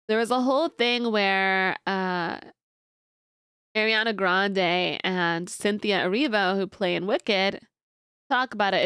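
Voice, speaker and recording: A woman's voice: medium pitch, pushed and bright, clean tone, dry recording, studio quality. A young woman streamer chatting casually with a congested, nasal voice, rambling as she answers her chat. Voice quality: nasal, stuffed-up voice. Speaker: livestreamer. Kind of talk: casual stream chat while watching videos.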